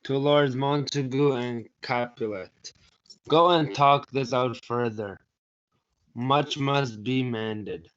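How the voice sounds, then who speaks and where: A man's voice: medium pitch, takes it slowly, a bit bright. A male student playing a stern, mournful ruling prince in a school reader's theatre performance. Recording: dull audio with noise, clicks.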